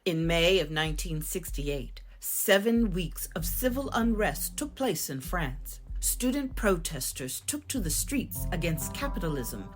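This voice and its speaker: A woman co-narrating a documentary from a script. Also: deep voice.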